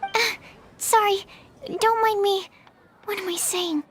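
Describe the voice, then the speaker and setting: Shy young female alchemist's assistant, high voice, stammering, apologetic, game character. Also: fairly even intonation, slow pace, fairly bright tone, slight background noise, heavily acted. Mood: nervous. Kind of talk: video game voice acting.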